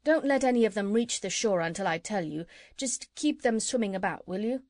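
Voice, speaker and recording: A woman voicing a gentle, polite male animal doctor, speaking softly and courteously in a storybook. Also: medium pitch, animated, a little fast, no echo, studio quality, close to an everyday voice. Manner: soft-spoken, courteous.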